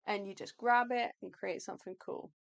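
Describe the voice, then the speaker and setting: A woman co-hosting an art livestream in a chatty, upbeat, rambling way, her voice going slightly hoarse. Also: mid-range voice, steady tone, moderate pace. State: losing her voice. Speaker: livestream co-host, artist. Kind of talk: casual live chat.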